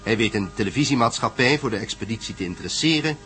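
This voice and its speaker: A man's voice: mid-range voice, animated, quick pace, bright, forceful tone. A man narrating a scripted story recap for a Dutch radio play. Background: music.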